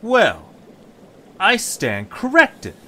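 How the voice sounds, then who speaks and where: A man's voice: sits high, wildly swooping pitch, very slow, bright, forceful tone, pitched above the performer's own voice, heavily acted. A man playing an earnest, exasperated young leader in a fantasy audio adventure. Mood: exasperated, surprised.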